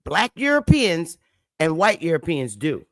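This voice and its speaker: Woman, Northern US accent, livestream host, slow deliberate pace, lively rising and falling pitch, dry close sound. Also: no echo. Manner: preachy, emphatic, repeats points and asks chat to respond. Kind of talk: livestream talk to viewers.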